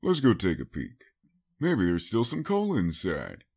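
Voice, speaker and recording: A folksy older stallion from an audiobook tale, acted by a man. He is good-natured, a bit cocky and fatherly, with an animated, swinging pitch and an affectionate, easygoing way of talking. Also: a little slow, slightly rough, full character voice.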